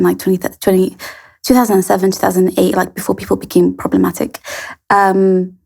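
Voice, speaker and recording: A woman's voice: mid-range voice, creaky, a little mellow, breathy edge. A young adult woman hosting a casual interview, chatty and telling stories, with frequent fillers.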